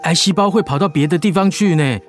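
A polite, worried grown son in a radio drama acted by a man. His voice sits a little high for a man. Soft music runs underneath. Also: fast, natural voice. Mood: urging. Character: polite, anxious adult son of an elderly patient. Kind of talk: Mandarin radio drama acting.

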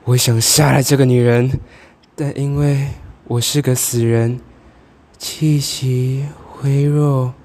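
Teenage boy playing a slow, mellow ghost wife. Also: medium pitch, flat delivery. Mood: sorrowful. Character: grieving, commanding ghost wife of a gentry house. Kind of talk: amateur student radio drama acting.

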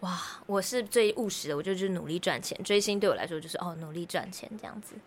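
A woman's voice: lowish voice, lively intonation, quick pace, a little hiss or hum. A woman with a Taiwanese accent hosting a casual podcast chat, effusive and encouraging. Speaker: podcast host.

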